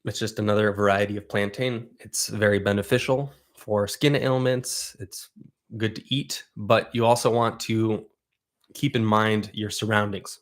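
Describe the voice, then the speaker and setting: A man's voice: fairly low pitch, steady tone, a little slow. A man whose first language is Russian, from Oregon, hosting a livestream and answering viewer questions in a loose, rambling way. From Southern Oregon, USA. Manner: rambling, conversational, with frequent restarts. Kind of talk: casual chat.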